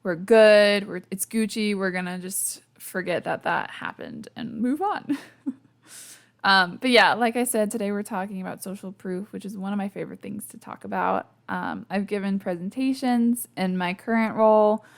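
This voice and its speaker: Gravelly-voiced woman losing her voice. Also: medium pitch, normal speed. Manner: chatty, self-deprecating, laughs often, lots of fillers. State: losing her voice, sleepy and hungover. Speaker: podcast host. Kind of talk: casual solo podcast chat. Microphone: podcast microphone.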